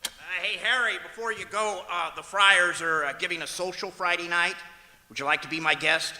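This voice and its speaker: A man playing a wry, long-suffering husband, the hesitant deadpan straight man in an old-time radio comedy on stage. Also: high-pitched. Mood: dry, wry. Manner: deadpan, halting with hesitant uhs. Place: performing arts center auditorium, live stage. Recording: echoey auditorium stage hall.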